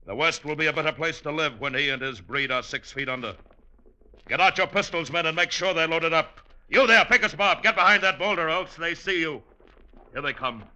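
Piercing, declamatory heroic sheriff. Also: male voice, fairly high pitch, fairly quick, bright, forceful tone, poor audio. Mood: heroic, urgent. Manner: stilted, declamatory commanding. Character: heroic, melodramatic dime-novel western sheriff. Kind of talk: old-time radio melodrama.

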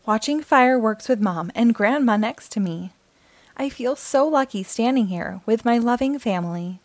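High-voiced woman reading a children's storybook. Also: lively intonation, normal speed, no room sound. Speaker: storytime host reading children's books aloud. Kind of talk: children's picture book read-aloud.

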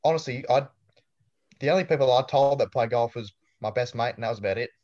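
A man's voice: mid-range voice, fast talker, darkish tone, muffled recording. A young Australian man in his twenties, chatting casually as an interviewee with a laid-back, storytelling manner and dry humor. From New South Wales, Australia. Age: 20s.

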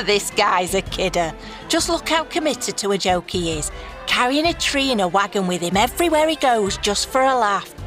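A woman's voice: medium pitch, sing-song, normal speed, a bit bright, faint music behind. A woman playing a cocky, boastful elf adventurer in a fantasy audio drama, with a brash, showy manner.

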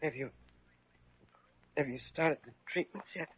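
A nervous young American man as a hospital patient in an old radio play. His voice is high and husky, plaintive and protesting. The recording is dry and muffled. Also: a little slow, no room sound. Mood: groggy, uneasy. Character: anxious, plaintive, suggestible young male patient. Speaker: actor in a radio drama. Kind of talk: classic American radio drama acting.

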